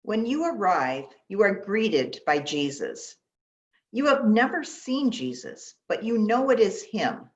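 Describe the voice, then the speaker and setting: A woman pastor leading a guided meditation in an unhurried, gentle manner with long pauses, speaking in short instructions. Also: medium pitch, big pitch swings. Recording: dull, lo-fi sound.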